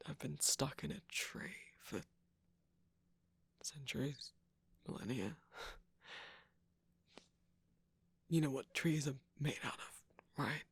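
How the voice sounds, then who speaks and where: Asmr performer doing a slow creaky villain jester, sardonic n guarded, whispery, super close dry mic. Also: medium pitch, heavily acted. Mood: drowsy, wry. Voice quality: breathy, creaky, often whispering. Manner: sardonic, teasing, drawn-out phrasing. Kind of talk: intimate ASMR roleplay monologue. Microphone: close, dry microphone.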